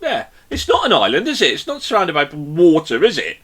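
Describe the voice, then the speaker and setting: A bright, fairly high-pitched man hosting a podcast, his voice swooping up and down as he teases his guests. He rambles through fillers and restarts in relaxed, jokey banter. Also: a little fast, breathy edge. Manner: playful and teasing, rambling with many fillers. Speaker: podcast host. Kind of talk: joking interview chat.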